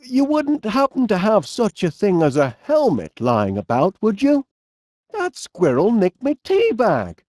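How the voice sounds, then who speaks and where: A middle-aged, absent-minded inventor from an animated comedy game, with a Northern English accent. He takes his time, and his pitch bounces up and down as he chats in a fussy, polite, cheerfully bumbling way. The recording is dry and close. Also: measured pace, dry recording, heavily acted. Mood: chatty, mildly fretful. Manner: bumbling, hesitant, polite, chatty.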